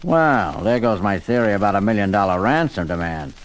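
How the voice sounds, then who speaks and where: An actor playing a businesslike homicide captain in a seventies audio drama, matter-of-fact and plain-spoken. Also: male voice, on the low side, very animated, a little fast. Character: businesslike male New York police homicide captain. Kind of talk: 1970s superhero record audio drama acting.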